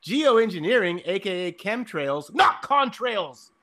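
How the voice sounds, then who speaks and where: High-pitched, sarcastic man. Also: sing-song, slow, a crowd in the background. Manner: sarcastic, exasperated, sweary. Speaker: podcast guest reading fliers aloud. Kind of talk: casual chat with mock-dramatic readings.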